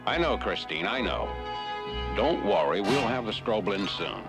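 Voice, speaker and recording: An actor playing a weary, caring middle-aged ship's doctor in a seventies television cartoon. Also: male voice, on the low side, sing-song, normal speed. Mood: soothing. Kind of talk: 1970s animated television acting. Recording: old TV soundtrack with loud music.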